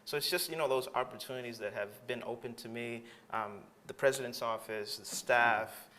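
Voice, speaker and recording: A man's voice: mid-range voice, moderate pace, sharp tone. A man from Tanzania, a graduate student, answering interview questions with enthusiasm and lots of "you know" fillers. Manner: enthusiastic, rambling with many fillers.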